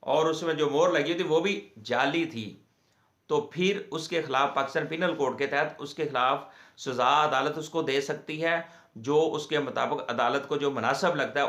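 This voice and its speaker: A man with a Pakistani accent giving an informal legal lecture to viewers in a halting, repetitive way. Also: medium pitch, fairly bright tone. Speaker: legal explainer, host of a law channel. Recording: echoey, reverberant room sound.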